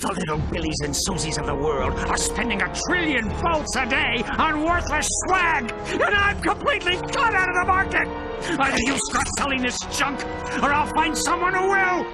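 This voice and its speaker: Shrill, bombastic media mogul villain. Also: male voice, lively intonation, normal speed, poor audio. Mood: furious. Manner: bombastic, sarcastic. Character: ranting greedy alien media mogul, male, short-tempered showman. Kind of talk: animated video game villain acting. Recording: loud music behind the voice.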